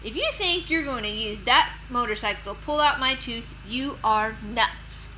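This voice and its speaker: A woman voicing a whiny young boy who loudly complains, in a children's storybook read-aloud. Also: fairly high pitch, animated, moderate pace, a little hiss or hum, low-quality recording. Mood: defiant.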